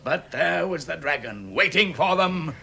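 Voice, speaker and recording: An actor playing a proud, grave dwarf leader, grand and declamatory in a vintage radio drama. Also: male voice, on the high side, animated, unhurried, gravelly, bright, forceful tone, breathy edge, heavily acted. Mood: grave, dramatic. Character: proud, grave dwarf leader telling his lost history. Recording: vintage 1960s radio recording.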